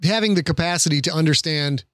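A man's voice: a little high, fast, a bit bright, no room sound, studio quality. A middle-aged man in a casual podcast discussion, explaining things in an earnest, plain-spoken way.

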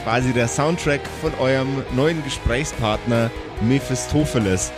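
Male game master over guitar music. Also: mid-range voice. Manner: halting scene-setting, joking with players. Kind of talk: improvised tabletop audio-play narration.

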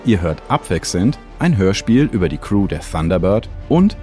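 A man hosting a science podcast, narrating from a script in a clear, explanatory way. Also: sits low, very animated, normal speed, dark tone, soft background music. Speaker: podcast host and science journalist.

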